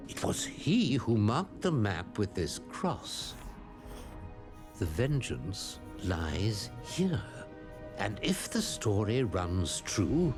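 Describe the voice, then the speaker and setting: A man narrating a roleplay story in a grave, dramatic way, drawing out his words and dropping to hushed tones. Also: deep voice. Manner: grave, drawn-out, slipping into hushed near-whispers. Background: music.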